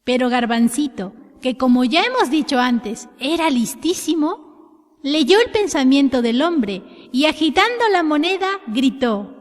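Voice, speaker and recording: A woman's voice: high-pitched, big pitch swings, unhurried. A woman telling a children's story expressively from a script, addressing young listeners directly. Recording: long reverberant echo.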